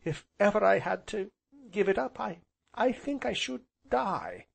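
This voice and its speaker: A man voicing a gentle, earnest young woman in a romantic storybook, speaking softly and sweetly. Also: a little high, sing-song, measured pace, slightly rough, pitched above the performer's own voice, heavily acted. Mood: passionate. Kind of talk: audiobook character voice.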